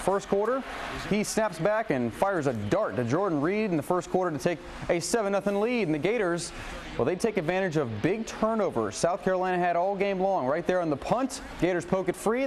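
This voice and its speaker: A man working as a TV sports anchor, calling highlights in a punchy, colloquial, exclamatory way. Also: a little hiss or hum. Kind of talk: highlights commentary and scripted sportscast. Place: TV studio, voice-over to highlights.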